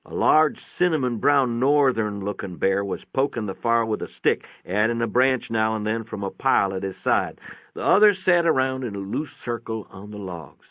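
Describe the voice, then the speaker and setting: A man's voice: on the low side, animated, moderate pace, no echo. A man giving a dramatic first-person reading of a short story in a folksy, matter-of-fact storytelling manner. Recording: muffled, narrow phone-like sound, dry.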